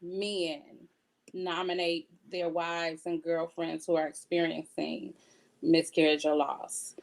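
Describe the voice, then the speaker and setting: A woman's voice: deep voice, level tone, slow pace, creaky. A woman, an author being interviewed, chatting casually and telling anecdotes by acting out what other people said.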